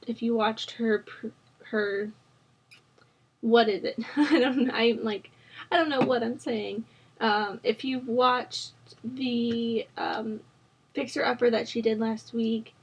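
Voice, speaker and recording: A young woman in her twenties, hoarse from a sore throat, chatting casually and rambling with plenty of ums and likes while she narrates a building game. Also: a little high. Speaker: Sims building channel host. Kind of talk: casual voice-over chat during a speed build.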